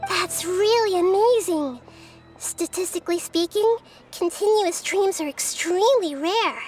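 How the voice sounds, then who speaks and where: A woman voicing a small, wise, caring child-like deity in a video game, speaking in a thoughtful, measured, counseling way. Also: very high-pitched, a little mellow, soft background music. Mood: curious, sympathetic.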